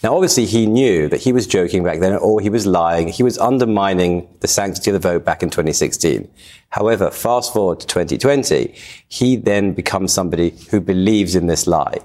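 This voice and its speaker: English male filmmaker, low voice, earnest interview guest who stumbles over a word now and then. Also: brisk, a little mellow. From England. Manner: earnest, storytelling, with some stumbling repeats. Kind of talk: TV interview answers.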